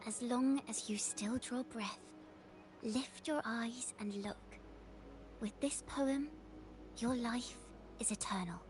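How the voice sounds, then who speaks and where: A woman playing an aloof, solemn young poet in a game, speaking softly in measured, lyrical phrases. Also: fairly high pitch, over music. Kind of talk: dramatic game voice acting, poetry recitation.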